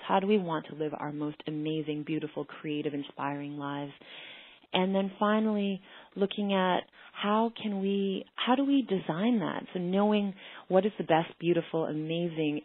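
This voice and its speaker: Low-voiced woman presenting over a phone-like line. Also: no echo. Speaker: panelist presenting. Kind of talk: informal slide presentation. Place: online panel call. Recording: narrowband phone-like online call audio.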